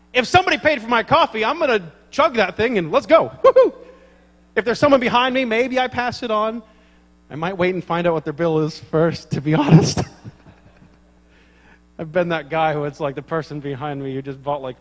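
A man's voice: a little high, fairly quick, fairly bright tone, big-room sound. A man preaching a sermon in church, telling funny stories and acting out the dialogue, laughing at his own jokes. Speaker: pastor.

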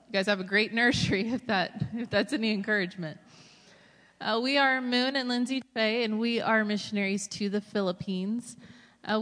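A woman's voice: mid-range voice, a bit bright. Woman speaking in a reverberant church hall. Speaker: missionary guest speaker. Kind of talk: personal testimony to a church congregation. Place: large church hall, over a PA. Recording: echoey hall, long reverb.

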